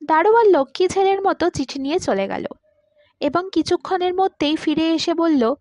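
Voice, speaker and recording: A woman reading a literary short story aloud in an expressive, dramatised narrating style. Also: very high-pitched, moderate pace, no room sound. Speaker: story reader.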